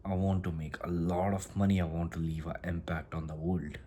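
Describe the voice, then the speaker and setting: A man with a very low voice gives a casual motivational talk in English, which is not his first language. He rambles, circles back and restarts his phrases as he goes. A faint hum of ambience sits behind him. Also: level tone, brisk, warm tone. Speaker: self-improvement vlogger.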